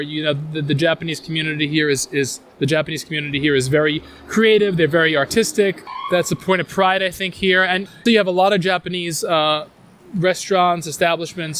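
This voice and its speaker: A man with a New York accent talks through a casual walking tour outdoors, his voice sitting a little higher than most men's. He rambles enthusiastically, circling back with fillers and restarts as he explains the neighborhood. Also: a little fast, a bit bright, a little hiss or hum. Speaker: tour guide. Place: outdoors on city streets.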